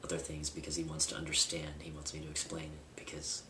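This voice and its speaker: Young man, deep voice, casual candid vlog, far from the mic, noisy background. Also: completely flat delivery, fast talker. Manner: candid, rambling with fillers and restarts. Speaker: vlogger. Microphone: camera mic at a distance. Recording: roomy, distant, with loud background noise.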